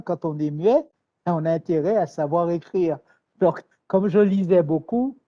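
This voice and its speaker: A man from Martinique, answering interview questions in a measured, thoughtful way with hesitations and repeated words. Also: fairly high pitch, warm tone. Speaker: writer, interview guest. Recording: dull lo-fi sound.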